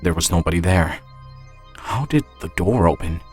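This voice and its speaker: Male narrator for suspense audio fiction, low register, faint music underneath. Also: lively intonation, normal speed, darkish tone. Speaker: writer and narrator of an audio story. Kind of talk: first-person scripted suspense narration.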